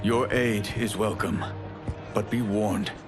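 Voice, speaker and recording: An actor playing a dignified deposed emperor in a video game, speaking in formal, measured phrases. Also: male voice, on the low side, steady tone. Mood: grave. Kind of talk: cinematic video game acting. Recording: game cutscene with loud music behind.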